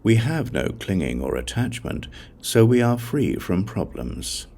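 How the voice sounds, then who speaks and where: Deep, gravelly male audiobook narrator. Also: big pitch swings, a little slow, a little mellow. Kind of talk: scripted reading of a Buddhist scripture.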